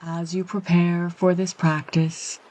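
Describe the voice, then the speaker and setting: A woman guiding a yoga nidra meditation, speaking in a calm, gentle, soothing way with measured phrases and long pauses. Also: lowish voice, rough recording. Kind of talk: guided meditation, slow and soothing instruction.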